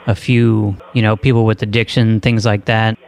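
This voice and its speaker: A man hosting a prank call show in a casual, deadpan and insistent way. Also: fairly low pitch, normal speed, vocal fry. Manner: casual, insistent, straight-faced.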